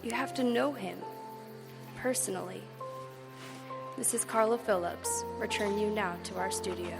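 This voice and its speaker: An earnest, eager radio reporter, played by a woman, narrating the scene as it unfolds. Her voice has a gravelly edge, with piano music clearly under it. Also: medium pitch, moderate pace, natural voice. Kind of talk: live radio drama acting. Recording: live stage with piano music.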